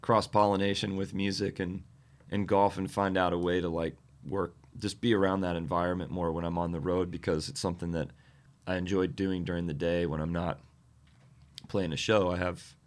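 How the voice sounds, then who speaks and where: A man, a touring musician guest, chatting casually with a slightly scratchy, tired voice and many halting fillers. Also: low-pitched, little pitch movement. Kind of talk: casual interview chat.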